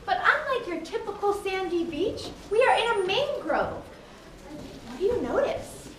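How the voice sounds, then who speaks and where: A cheerful guide played by a woman explains and encourages in a children's puppet show. The voice is very high and lively, caught at a distance with noise around it. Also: measured pace, full character voice. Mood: encouraging. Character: cheerful guide teaching about ocean habitats. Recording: echoey, distant live recording with clear noise.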